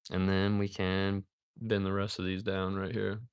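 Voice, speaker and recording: A man's voice: low-pitched, level tone, measured pace, clear, resonant tone, no room sound, clean studio sound. A man in his thirties chatting casually on a live stream while he builds a model kit, laid-back and rambling, calling viewers dude and man. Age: 30s.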